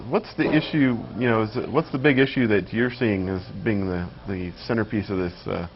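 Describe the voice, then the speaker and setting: A man's voice: fairly low pitch, moderate pace, lo-fi sound, noisy background. A man hosting a local TV interview, asking questions in a loose way full of fillers and restarts. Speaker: TV show host.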